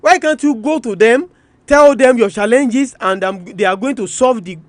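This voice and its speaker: A man's voice: extremely high pitch, normal speed, very noisy. A man with a Nigerian Yoruba accent hosting an educational radio talk, speaking emphatically to listeners and repeating his points. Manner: emphatic, repetitive, addresses listeners directly. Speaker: radio programme host. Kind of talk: live educational radio talk, switching between English and Yoruba.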